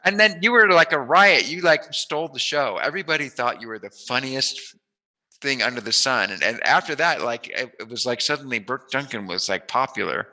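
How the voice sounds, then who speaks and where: A man's voice: mid-range voice, lively intonation, brisk, pushed and bright, low-quality recording. A middle-aged man chatting casually as an interviewer, telling memories in a jokey, emphatic way. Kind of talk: casual reminiscing interview chat.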